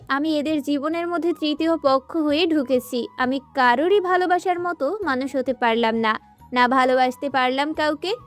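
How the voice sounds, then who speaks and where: A woman performs a young, unloved wife in an audio love story. Her voice is very high, sorrowful and self-blaming, with music underneath. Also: natural voice. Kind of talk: dramatized audio romance story.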